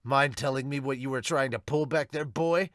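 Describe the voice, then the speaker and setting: Gruff, severe male superhero, played by a man, terse delivery, dryly recorded for an amateur comic dub. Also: medium pitch, normal speed, fairly bright tone, no echo, studio quality, lightly acted. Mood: suspicious.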